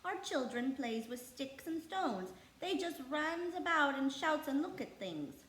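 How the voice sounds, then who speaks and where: A woman's voice: sits high, sing-song, a little slow, a bit bright, roomy sound, pitched above the performer's own voice, full character voice. A woman voicing a cheerful, motherly young housemaid in a storybook, with emphatic, folksy dialect. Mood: exclaiming.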